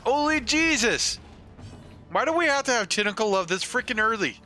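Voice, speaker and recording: A man's voice: extremely high pitch, animated, normal speed, bright, forceful tone, soft background music. A man in his forties chatting casually and rambling with some salty swearing as he streams a game. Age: 40s. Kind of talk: casual live-stream chat with gameplay commentary.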